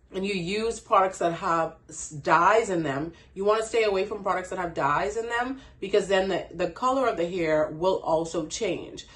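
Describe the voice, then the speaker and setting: A woman from the Bahamas with a Bahamian accent, giving a casual hair care tutorial in a chatty way and laughing at herself. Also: mid-range voice. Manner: chatty, laughs at herself, frequent "you know". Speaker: hair care brand owner.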